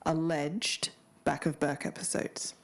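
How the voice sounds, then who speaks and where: A woman's voice: low-pitched, steady tone, slow. A woman co-hosting a podcast, talking and reading aloud over music. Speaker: podcast co-host.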